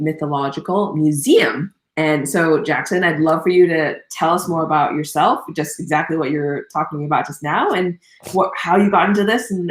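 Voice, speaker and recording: A host who grew up in Thailand, running a casual interview and encouraging the guest with quick affirmations. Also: mid-range voice, echoey room. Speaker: podcast host.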